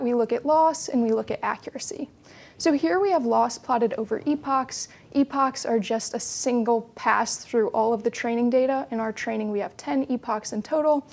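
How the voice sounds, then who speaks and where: A young woman with a fairly high voice and pronounced vocal fry presents research as a student. She explains things step by step in plain, orderly phrasing. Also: a little mellow. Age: young adult.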